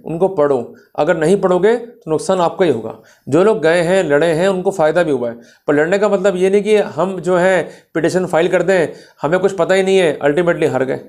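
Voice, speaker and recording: A man's voice: fairly high pitch, a little mellow. A man with an Indian accent lecturing on law in a didactic way, asking rhetorical questions and answering them as he explains. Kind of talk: explanatory lecture to viewers, mixing Hindi with English legal terms.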